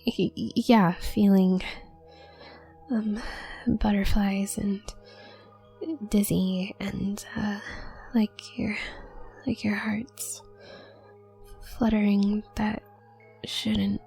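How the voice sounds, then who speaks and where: Shy young witch classmate played by a woman, audio roleplay: slow, hesitant stutter, breathy soft voice, awkward and flustered. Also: mid-range voice, level tone, soft background music, exaggerated voice. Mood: flustered, anxious. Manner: heavy nervous stammer, halting and hesitant. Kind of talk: roleplay audio drama addressed to the listener.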